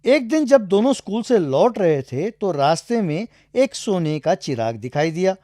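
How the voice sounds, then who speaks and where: Animated children's storyteller, dry close sound. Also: medium pitch, normal speed, no room sound. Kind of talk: scripted children's story narration.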